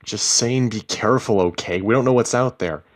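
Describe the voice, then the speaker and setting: A bewildered, defensive husband in a story drama, played by a man reading aloud. He speaks insistently and with emphasis, pushed up in pitch from the reader's deep natural register. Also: moderate pace, close to an everyday voice. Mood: urgent, wary. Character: bewildered, defensive husband who hears voices.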